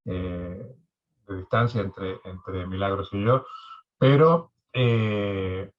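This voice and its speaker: Male interview host, fairly deep, polite and deliberate, recorded over a dull-sounding online call. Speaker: podcast host. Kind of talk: conversational podcast interview. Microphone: remote online call.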